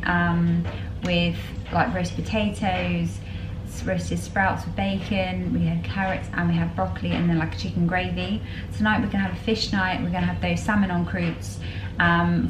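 A woman in her thirties with a Southern English accent talks through her shopping in a relaxed, chatty way, filling pauses with "um" and "like". Her voice sits a little low, and a steady noise runs under it in her kitchen, picked up by a camera on the table. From southern England. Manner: chatty, many fillers like "um" and "like". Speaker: family vlogger. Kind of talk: casual grocery haul and meal plan chat. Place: home kitchen, indoors. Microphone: camera on a table facing her.

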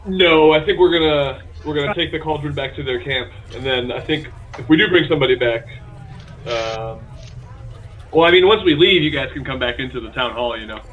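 A man's voice: on the high side, a little fast. A man playing a tabletop game online, joking and swearing casually. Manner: animated, jokey, swears casually. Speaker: player. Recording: lo-fi mic.

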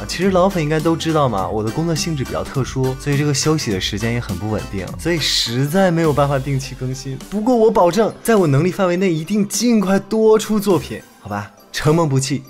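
A man's voice: medium pitch, close to an everyday voice. An actor playing a playful, cheeky young male cop who moonlights as a chatty beauty streamer in an audio drama. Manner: animated, teasing, casual. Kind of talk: Chinese audio drama acting.

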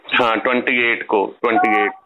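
A man's voice: lowish voice, level tone, normal speed. A young man talking in a phone conversation, putting off a caller. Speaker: phone call recipient putting off a debt collector. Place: telephone call. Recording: tinny phone line with noise.

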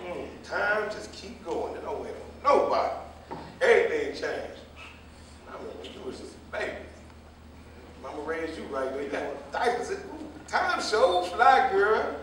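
An echoey stage drama features a man playing an older jitney driver who moralizes and meddles. His folksy, rambling speech swings widely in a high register. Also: very animated, normal speed, a bit bright, heavily acted. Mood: nostalgic, chatty. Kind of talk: live staged play reading. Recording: echoey, distant stage recording with faint noise.

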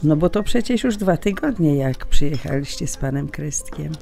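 A woman's voice: sits low, expressive, normal speed, creaky, warm tone. An actress playing a polite, wistful emigrant woman with a reflective manner in an audio drama. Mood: kindly chatty. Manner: courteous, reflective.

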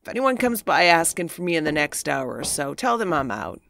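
A brash middle-aged female garage boss played by a woman with a heavily creaky, low voice. She teases bluntly and casually, with lively swings of intonation, as in an audio drama. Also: fairly quick, slight background noise, heavily acted. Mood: brusque.

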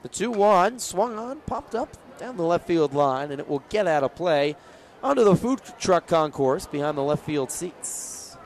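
A man's voice: fairly high pitch, lively intonation, unhurried. A young man calling live baseball play-by-play for radio. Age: young adult. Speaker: play-by-play broadcaster. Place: outdoor ballpark broadcast. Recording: crowd and stadium noise behind. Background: ballpark crowd.

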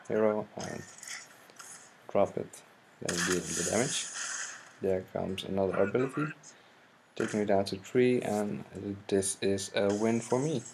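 A young man from the Netherlands with a Dutch accent, hesitantly commenting on his own card game match in halting English. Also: lowish voice, vocal fry, a little mellow. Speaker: gaming channel host. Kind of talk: gameplay commentary. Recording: noisy home mic recording.

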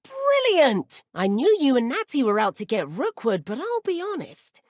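A woman's voice: high voice, wildly swooping pitch, moderate pace, a little mellow, dry recording, studio quality, full character voice. A woman voicing an enthusiastic young schoolboy wizard in a video game. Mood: impressed. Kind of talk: video game character dialogue.

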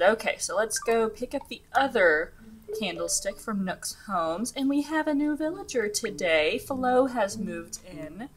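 A young woman hosting a relaxed gaming video, talking through her play in a chatty, giggly way with lots of fillers, her voice a little scratchy from the early morning. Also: mid-range voice. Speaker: gaming channel host. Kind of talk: casual let's-play commentary. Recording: game music underneath the voice.

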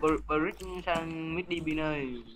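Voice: mid-range voice, steady tone, slow pace. A gamer in casual voice chat with teammates, giving short repeated reactions and callouts. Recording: loud music over voice chat.

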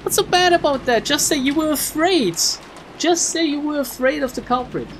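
A streamer reading a visual novel aloud in an expressive way, with casual, joking asides. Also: sits high, moderate pace, a little hiss or hum. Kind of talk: let's play read-aloud with casual asides.

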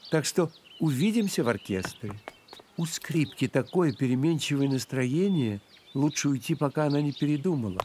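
A man voicing a courteous grasshopper teacher, explaining clearly as the guide in an educational cartoon. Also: on the high side. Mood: courteous, confiding. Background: music.

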